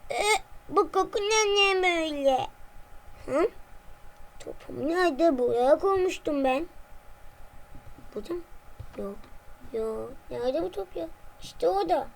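A woman voicing an excitable, whiny, easily scared young friend in an amateur cartoon, exclaiming and complaining in colloquial speech. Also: extremely high pitch, a little slow, gravelly, pauses often, exaggerated voice. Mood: puzzled searching. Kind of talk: improvised cartoon dialogue between friends. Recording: noisy amateur home recording.

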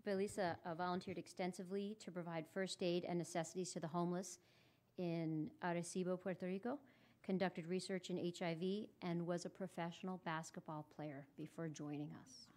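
A woman's voice: on the low side, steady tone, a little fast, a little mellow. A woman introducing people from notes as a presenter at a public meeting, recorded in a noisy room. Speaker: residency program presenter.

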